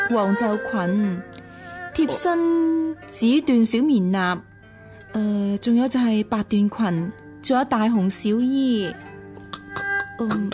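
A coquettish radio-drama wife with a high voice and a Hong Kong Cantonese accent. She is jealous, teasing and quick to sulk. Music runs clearly behind her. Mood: recalling.

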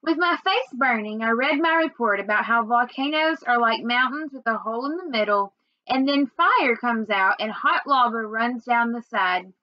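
A young adult woman reading a children's novel aloud in an expressive storytelling manner. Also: a little high, normal speed, dry recording, dull audio. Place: small indoor room at home.

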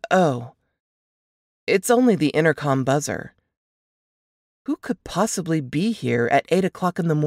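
A woman reading a first-person novel as scripted narration, in a dramatic, expressive manner. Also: low voice, no room sound, studio quality.

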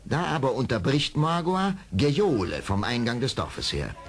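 A man narrating an audio drama from a script, in measured storyteller phrasing. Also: mid-range voice, very animated. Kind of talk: scripted radio play narration.

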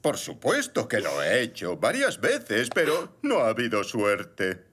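A man's voice: mid-range voice, huge pitch swings, a little slow, a bit bright, a little hiss or hum. A man voicing a fussy, flustered zebra father in a children's cartoon, harried and dramatic. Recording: music behind.

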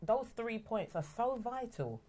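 A woman's voice: fairly high pitch, big pitch swings, unhurried, warm tone. A woman, co-presenting a football show in casual chat on a lapel mic. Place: small indoor studio set.

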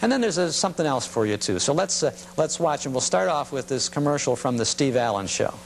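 A man hosting a nostalgia broadcast, introducing material in a conversational, halting, explanatory way. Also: on the high side, expressive. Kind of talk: casual unscripted introduction of vintage commercials. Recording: clearly noisy old TV tape with hum.

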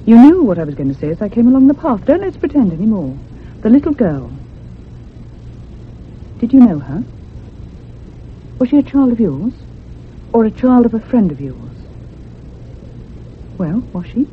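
A woman's voice: on the high side, sing-song, normal speed, long pauses. Earnest young woman, tinny radio thriller. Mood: insistent, pleading. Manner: earnest, pressing, questioning. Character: earnest, insistent young woman probing a ghostly mystery. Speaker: actor in a radio drama. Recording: muffled phone-like radio recording with hiss.

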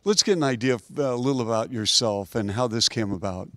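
A man's voice: mid-range voice, quick pace, a bit bright, no echo. A middle-aged man hosting a team TV interview, upbeat and polished, speaking into a handheld mic at an indoor media backdrop. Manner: upbeat, polished broadcaster delivery.

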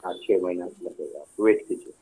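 A man's voice: sits low, normal speed, warm tone, breathy edge. A man answering a phone call as an official, in brief, matter-of-fact replies.

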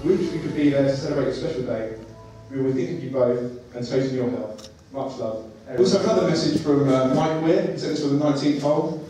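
A young man giving a joint best man speech, wry and quick, reading guests' messages aloud. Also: medium pitch, mellow. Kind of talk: humorous wedding speech. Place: large banquet hall. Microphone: handheld mic, filmed from afar. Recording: echoey hall from far off, music and crowd behind.

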